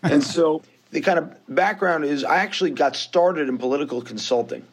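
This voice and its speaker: A man's voice: a little high, normal speed, slightly rough. A man in his fifties with a New York accent, speaking as a podcast guest. He tells his story candidly and pokes fun at himself along the way. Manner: candid, self-deprecating storytelling. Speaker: guest investor. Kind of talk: podcast interview.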